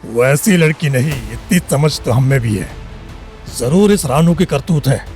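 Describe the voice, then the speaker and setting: Man playing gruff, hot-tempered small-town father. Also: medium pitch, expressive, unhurried, a little mellow, natural voice. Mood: angry. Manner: gruff, threatening, rustic speech. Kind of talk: Hindi audio story drama. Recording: background music under the voice.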